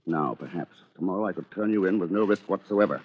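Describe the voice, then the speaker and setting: Low, husky British lawyer, old radio. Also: male voice, fast. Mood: coolly gloating. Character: dry resentful bachelor lawyer, narrating and scheming. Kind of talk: 1940s radio acting. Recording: dull lo-fi old radio recording.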